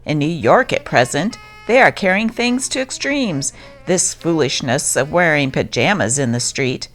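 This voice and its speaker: A woman's voice: low-pitched, animated, normal speed, soft background music. A woman narrator giving a scripted reading of old newspaper stories.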